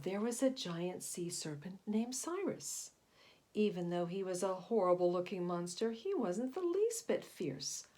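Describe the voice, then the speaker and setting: Animated woman reading aloud to children. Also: medium pitch, slight background noise. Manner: expressive, explanatory, addressing young children. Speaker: children's read-aloud storyteller. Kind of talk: children's storybook reading and introduction.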